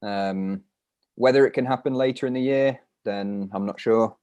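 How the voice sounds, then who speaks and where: Low-pitched British man chatting casually. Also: moderate pace, darkish tone, lo-fi sound. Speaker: professional rock climber answering questions. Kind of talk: casual live Q&A chat.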